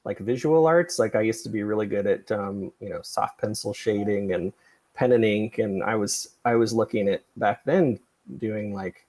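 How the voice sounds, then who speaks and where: Gravelly, husky man chatting casually. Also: fairly low pitch, a little mellow. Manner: rambling, digressive, self-effacing. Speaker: podcast creator and livestream host. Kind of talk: casual livestream chat.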